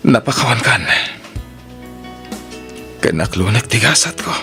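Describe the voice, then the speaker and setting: A man's voice: mid-range voice, lively intonation, unhurried, pushed and bright. A man acting a heartbroken, devoted young man in an Ilocano romance drama, earnest and wistful, with an Ilocano accent and music behind him. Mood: resigned, wistful. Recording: music bed under the voice.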